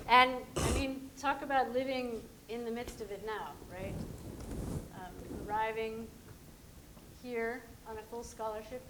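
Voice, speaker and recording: A woman's voice: on the high side, steady tone, slow pace, pauses often, noisy background. A woman moderating a live event, introducing the speakers in an upbeat, conversational way. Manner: upbeat, conversational, some fillers.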